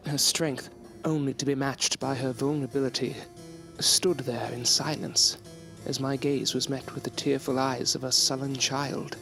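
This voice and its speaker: Wistful educated guy telling his story in an audio drama acted by a man, florid and formal, medium pitch, faint music. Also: flat delivery, moderate pace, creaky, a bit bright. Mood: wistful, reflective. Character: wistful, erudite gentleman recalling his student days. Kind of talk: first-person audio drama narration.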